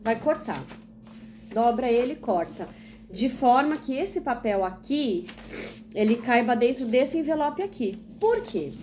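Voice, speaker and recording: A woman's voice: a little high, very animated, measured pace, a little mellow, a little hiss or hum. A young woman from Brazil, a teacher trainee, explaining a literacy activity step by step and asking and answering her own questions. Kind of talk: instructional demonstration video.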